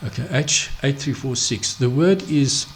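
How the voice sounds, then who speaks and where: A man teaching a Bible study off the cuff, halting, full of restarts, fillers and "okay?" check-ins. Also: medium pitch, a little hiss or hum. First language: not Hebrew. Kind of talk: unscripted screen-share Bible study.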